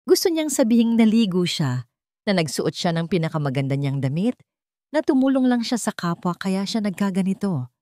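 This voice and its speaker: A woman's voice: mid-range voice, sing-song, normal speed, dark tone, no room sound, clean studio sound. A woman with a Filipino accent reading a scripted story in a dramatic storytelling style.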